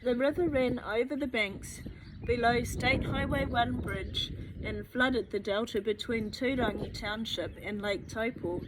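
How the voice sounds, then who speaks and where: A woman's voice: fairly high pitch, unhurried. A woman with a New Zealand accent reading a children's book aloud, adding chatty asides and thinking aloud to young listeners. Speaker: children's story reader. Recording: noticeable background noise.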